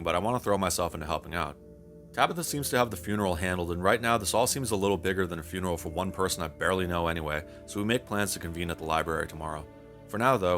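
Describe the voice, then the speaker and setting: A man's voice: low voice, a bit bright. A man reading a scripted game review in a wry, wordy, casually profane way.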